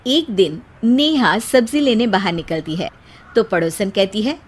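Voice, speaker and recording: A woman's voice: mid-range voice, expressive, a little slow, husky. A woman narrating a scripted cartoon story as a storyteller.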